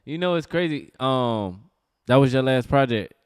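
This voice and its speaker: A man hosting a casual podcast interview, relaxed and slangy as he asks questions. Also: mid-range voice, moderate pace, smooth, no room sound, studio quality. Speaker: podcast host.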